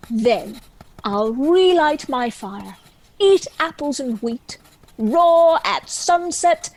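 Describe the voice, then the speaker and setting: A woman's voice: sits high, darkish tone, low-quality recording. A woman playing a chatty, self-pitying dragon who tells its own story in a wry, theatrical comic stage monologue. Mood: grand, hopeful. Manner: wry, theatrical, measured storytelling with arch asides.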